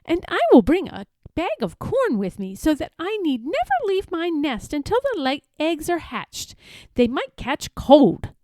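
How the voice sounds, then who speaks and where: A woman's voice: sits high, sing-song, a little slow, darkish tone, no room sound, pitched above the performer's own voice. A woman voicing a naive, conscientious mother duck in a children's storybook, earnest and a little prim. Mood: earnest, fussy.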